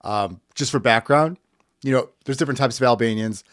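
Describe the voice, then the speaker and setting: A man hosts a political podcast in a blunt, furious tone, swearing freely as he talks. His pitch sits in the middle range, and the close mic sounds dry, with no room around it. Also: brisk, dry recording. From United States. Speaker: podcast host. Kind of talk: impassioned political commentary.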